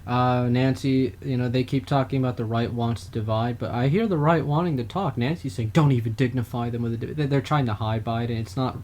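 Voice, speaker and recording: A man's voice: mid-range voice, steady tone, brisk, few pauses, a little mellow. A man co-hosting a political talk podcast, chatting casually and mocking opponents in a raised voice. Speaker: podcast co-host. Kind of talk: political talk show chat.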